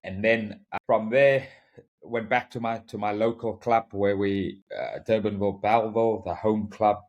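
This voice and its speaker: A man's voice: lowish voice, steady tone, moderate pace, a little mellow, dull audio. A man from South Africa with a South African accent, a rugby coach talking reflectively as a podcast guest, often repeating words and laughing. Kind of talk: podcast interview.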